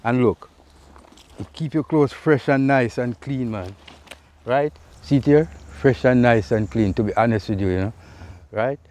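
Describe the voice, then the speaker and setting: A man's voice: mid-range voice, unhurried, darkish tone. A middle-aged man with a Jamaican accent, casually explaining a task outdoors by a river and saying "you know" a lot. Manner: easygoing, repeats "you know" often. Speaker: vlogger. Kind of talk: casual how-to vlog.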